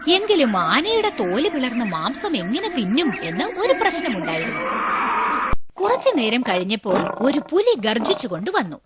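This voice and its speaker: A woman storyteller narrates scripted tales for children. Her voice is fairly high and very lively in intonation, with music playing clearly behind it. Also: normal speed.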